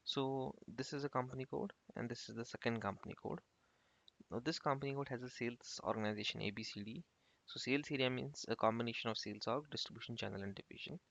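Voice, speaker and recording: A man giving an informal software tutorial as an instructor, explaining step by step in a halting, repetitive way. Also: mid-range voice, gravelly, no room sound. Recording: muffled lo-fi screen-recording voice-over.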